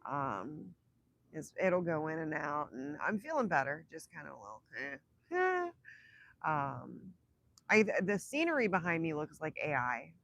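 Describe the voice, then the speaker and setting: A young woman with a raspy voice from illness, chatting casually on a livestream, rambling and laughing between thoughts. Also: sits low, sing-song, measured pace, fairly bright tone, no room sound. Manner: rambling, self-interrupting, laughs often. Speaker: livestream host. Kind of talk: casual live chat with viewers.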